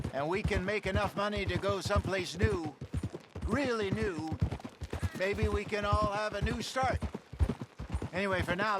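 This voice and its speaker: An old American outlaw from a video game, a wry mentor with a fairly high voice. He talks in a measured, folksy, wistful way, as one who has seen a long life. Loud outdoor noise and hoofbeats sit behind him. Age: older. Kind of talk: video game dramatic acting.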